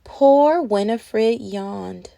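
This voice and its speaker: Female children's read-aloud host, animated storybook narration, unhurried pace, very dry close sound. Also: fairly high pitch, gravelly, no room sound. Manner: animated, acts out words and sound effects.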